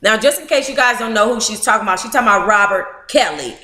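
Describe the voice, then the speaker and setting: An American woman reads aloud as a book club host in an echoey room. Her delivery is informal and animated, moving between narration and playful, blunt asides. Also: a little high, fast. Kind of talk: informal read-aloud with candid, profane asides.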